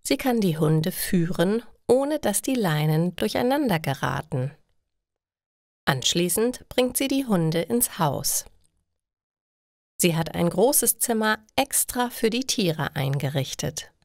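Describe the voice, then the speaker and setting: A woman's voice: fairly low pitch, sing-song, takes it slowly, no echo, studio quality. A woman reading scripted stories for language learners as a narrator. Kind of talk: slow, clearly read scripted story narration.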